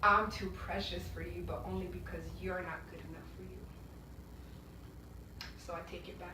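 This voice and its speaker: A young woman from Rwanda performing spoken-word poetry live in a small art gallery, with emphatic, rhythmic delivery and sudden loud bursts, between pieces chatting to the audience. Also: fairly low pitch, steady tone, moderate pace, noisy background. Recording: echoey gallery room, mic far off.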